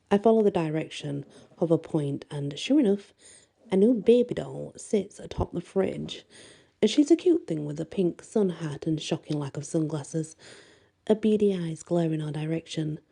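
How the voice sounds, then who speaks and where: Mellow, low-voiced woman reading a story. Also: lively intonation, normal speed, creaky, husky. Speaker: audiobook reader and storyteller. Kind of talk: expressive first-person bedtime story reading.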